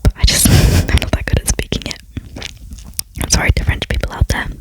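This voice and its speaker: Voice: brisk, rough recording. A young adult speaks entirely in whispers, with barely any voiced tone. The delivery is casual and rambling, like an informal ASMR chat over gameplay, with a self-deprecating streak.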